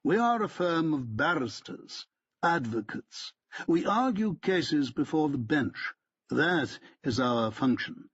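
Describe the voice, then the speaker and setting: A man's voice: a little high, sing-song, slow, fairly bright tone, breathy edge, dry recording, highs cut off, pitched above the performer's own voice, exaggerated voice. A man voicing a pompous, stiff middle-aged barrister who talks in a haughty, clipped, precise way.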